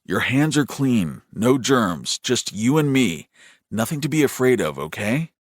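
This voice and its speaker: A patient, protective big brother, played by a male audiobook reader, speaks slowly in a middle register. His voice is coaxing and reassuring, with lively swings in pitch. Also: no room sound, studio quality. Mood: concerned, soothing. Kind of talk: audiobook character voice.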